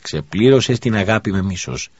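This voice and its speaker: A man's voice: on the low side, expressive, breathy edge, no echo. A man playing a stern governor passing judgment. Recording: dull lo-fi recording.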